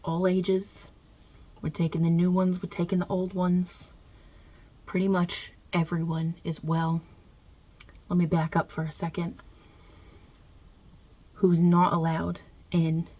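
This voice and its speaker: Woman doing god as a jersey italian mob boss, nonbinary, low breathy raspy voice, fake new york accent, sweary and casual, asmr roleplay. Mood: blunt, opinionated. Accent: put-on Italian-American New York-New Jersey. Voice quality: husky, breathy, hushed. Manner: wry, offhand, blunt, hesitant pauses, swearing.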